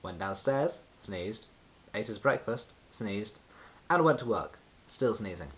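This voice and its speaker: A man reading a children's storybook aloud as narrator, with expressive, deliberate storytelling and emphasis on repeated words. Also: fairly low pitch, slight background noise. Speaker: children's book reader.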